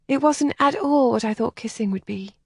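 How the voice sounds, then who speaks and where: A woman narrator voicing a shy, distressed young woman who speaks in a breathy, trembling, often whispered voice. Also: fairly high pitch, normal speed, no echo, clean studio sound, pitched above the performer's own voice. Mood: tearful. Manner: pleading and anxious. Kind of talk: audiobook character voicing.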